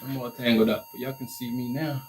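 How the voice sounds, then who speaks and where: A man from Liberia with a Liberian accent, hosting a casual live stream Q&A on his t-shirt business and repeating his points in an energetic, motivational way. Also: mid-range voice, a little fast, slight background noise.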